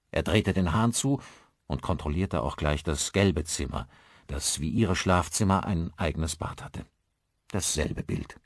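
A man's voice: sits low, no room sound, studio quality. A man reading a scripted crime horror audiobook as narrator, with dramatic storytelling delivery.

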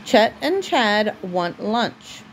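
Woman, mid-pitched, unhurried bedtime-story reader, deliberate phrasing, slightly noisy home recording. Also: very animated. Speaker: mother reading a bedtime story to her child. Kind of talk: reading a children's storybook aloud.

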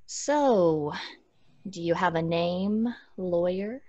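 An actress playing a sardonic, impatient young twin sister in an audio drama, with a dry, sarcastic manner. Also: female voice, on the low side, very slow, mellow, no room sound, muffled recording.

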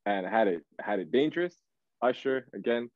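A man, chatting casually as an interview guest in a halting, slangy way on a video call. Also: fairly low pitch, expressive, dry recording, lo-fi sound. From Canada, raised in Toronto. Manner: halting, slangy, searching for words. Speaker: guest R&B singer. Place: small room, indoors. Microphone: video call, device at arm's length.

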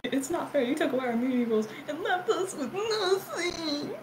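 A woman's voice: sits high, expressive, normal speed, a crowd in the background. A woman performing an irreverent, giggly, improvised cartoon fandub. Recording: clearly noisy home recording.

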